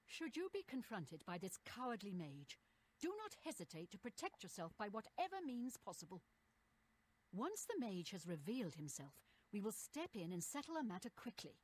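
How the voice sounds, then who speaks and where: A woman's voice: medium pitch, very animated, moderate pace, slightly rough. An actress playing a stern, authoritative female battle mage giving instructions in a fantasy video game. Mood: stern, instructing.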